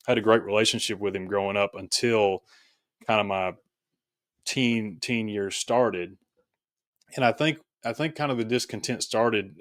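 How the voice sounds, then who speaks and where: A man telling his personal story reflectively as an interview guest, in a halting, thoughtful way, often correcting himself. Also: on the low side, fairly bright tone, no room sound, studio quality. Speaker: podcast guest, author.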